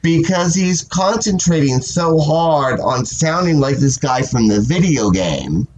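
A man hosting a podcast and chatting casually in a sassy, animated way, swearing often and sounding stuffy from a cold. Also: a little high, a little slow, a little hiss or hum, poor audio. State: stuffy with a cold, sore throat. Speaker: podcast host. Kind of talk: casual chat.

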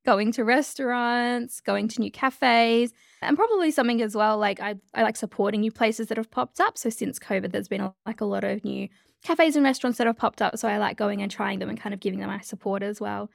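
An energetic Australian woman chats as a podcast guest in a bubbly, quick-laughing way. Her voice sits a little high and has a gravelly rasp. The sound is dry, with no room echo. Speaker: guest, change leadership expert. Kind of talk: casual interview chat.

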